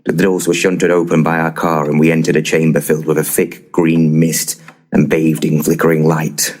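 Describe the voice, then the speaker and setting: An actor playing a solemn, long-winded male paranormal investigator with a formal, ponderous deadpan, in a comedic audio drama. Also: extremely low pitch, normal speed. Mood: solemnly narrating.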